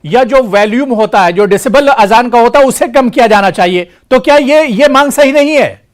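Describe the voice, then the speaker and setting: A man with a very high, light voice moderates a televised debate. He keeps things moving with quick acknowledgments and pointed questions to his guests. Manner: interjects with steady short acknowledgments. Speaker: debate show host.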